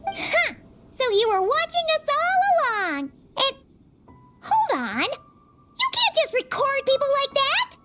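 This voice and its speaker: Childlike fairy sidekick, extremely high voice, animated, bubbly, video game dialogue. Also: female voice, takes it slowly, loud music behind the voice, heavily acted. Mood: indignant. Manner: excitable, speaks of self in third person.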